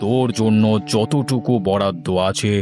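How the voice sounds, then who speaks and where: A man's voice: fairly low pitch, fairly even intonation, measured pace, lightly acted. A man voicing a stern but kindly holy sage in a cartoon fairy tale, speaking in solemn, commanding, old-fashioned phrases. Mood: reassuring, wise. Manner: commanding, archaic solemn address. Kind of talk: animated fairy-tale voice acting. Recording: music behind the voice.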